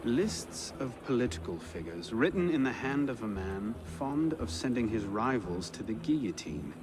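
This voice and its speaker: A man's voice: mid-range voice, big pitch swings, moderate pace, background noise, close to an everyday voice. A man playing a self-assured, dry-witted adventurer in a historical video game, speaking in a laconic way. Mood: wry, focused. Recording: crowd and music behind.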